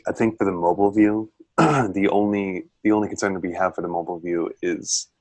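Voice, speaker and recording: A man with a low voice talks through feedback on a video call. He is casual and often rephrases mid-thought, and the sound is dry. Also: brisk, a little mellow, no room sound. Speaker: manager reviewing a student team's check-in.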